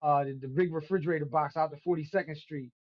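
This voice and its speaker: Animated New man in his fifties. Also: a little high, fast, highs cut off. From Brooklyn, New York. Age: 50s. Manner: animated storyteller, street slang. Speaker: guest DJ. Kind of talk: casual podcast chat.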